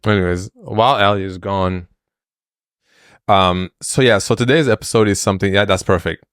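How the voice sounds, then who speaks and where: A man's voice: fairly low pitch, normal speed, a bit bright, clear, resonant tone, dry recording, clean studio sound. A man co-hosting a podcast, chatting in an upbeat, playful way. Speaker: podcast co-host. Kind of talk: casual chat.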